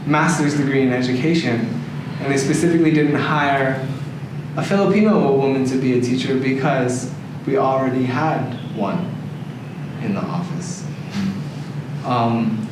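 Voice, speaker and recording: A man's voice: medium pitch, measured pace. Echoey, distant Canadian man in discussion. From Toronto, Canada. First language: English. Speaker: discussion participant. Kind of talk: reflective group discussion. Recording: echoey noisy room, distant mic.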